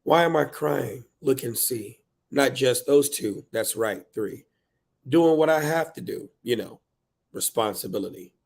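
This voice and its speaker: A man's voice: medium pitch, lively intonation, measured pace, vocal fry, a little mellow. A man voicing an exasperated, overwhelmed single mother of three in a dramatic, emphatic spoken-word book reading. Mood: weary, defensive.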